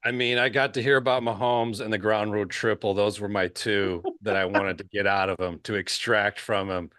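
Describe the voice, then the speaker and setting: An American man with a slightly low voice co-hosts a podcast. He talks casually and conversationally, putting questions and comments to a guest. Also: steady tone, normal speed, sharp tone. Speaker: podcast co-host. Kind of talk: casual chat.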